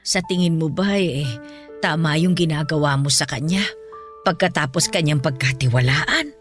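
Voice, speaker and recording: A woman playing a gentle, principled ailing mother in a Filipino radio-style drama, speaking with earnest, patient counsel. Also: deep voice, expressive, normal speed. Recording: music bed under the voice.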